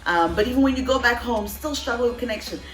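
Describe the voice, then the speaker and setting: A woman's voice: fairly high pitch, fast talker, a bit bright, reverberant, poor audio. A young woman from Cameroon with a Cameroonian English accent that drifts toward British, chatting to camera in a bubbly, playful way and laughing often. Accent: Cameroonian English, shifting toward British. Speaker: vlogger and voiceover artist. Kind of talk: casual chat to camera.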